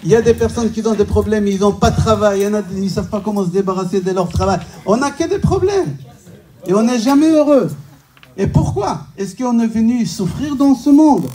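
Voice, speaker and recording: High, piercingly bright male rabbi, distant mic. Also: animated, brisk. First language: Hebrew. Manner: rhetorical questions, emphatic repetition, joking. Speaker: rabbi giving a lecture. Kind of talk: informal religious lecture to a live audience. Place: medium-sized indoor synagogue function room.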